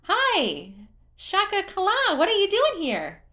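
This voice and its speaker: Casting: a woman as an excitable little forest spirit, squeaky high, bashful and exclamatory, for story narration. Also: slow, exaggerated voice. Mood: excited. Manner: exclaiming, hesitant when flustered, singsong catchphrases.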